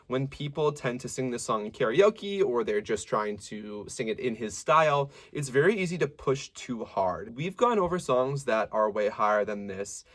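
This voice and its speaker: A man with a medium-low voice teaching a singing lesson, explaining technique clearly and stopping to sing short examples. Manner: lively, demonstrative teacher who breaks into sung examples. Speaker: vocal coach.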